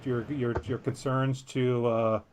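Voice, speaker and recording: A man's voice: medium pitch, level tone, slow pace, a little mellow, a little hiss or hum. Man reading a story, jokey asides. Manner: jokey, easily sidetracked, self-deprecating. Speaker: podcast co-host. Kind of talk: reading a news story aloud with casual asides.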